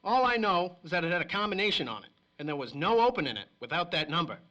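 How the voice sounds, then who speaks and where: A man's voice: high voice, sing-song, quick pace, bright, forceful tone, dull audio, exaggerated voice. An actor playing a nervous, shifty small-time crook and informant in a 1930s-style radio crime drama, with whiny, slangy wise-guy talk. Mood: reluctant confiding.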